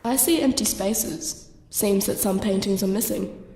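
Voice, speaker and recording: A woman's voice: medium pitch, measured pace, warm tone, lightly acted. A young woman acting in an audio drama as a blunt, suspicious, street-wise friend, with a brash, matter-of-fact manner. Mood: sceptical. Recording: echoey room with faint noise.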